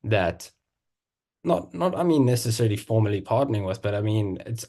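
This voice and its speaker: A man's voice: on the low side, mellow. Man restarting and repeating himself as he talks. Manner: repeats words and restarts phrases often. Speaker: panelist. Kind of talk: panel discussion.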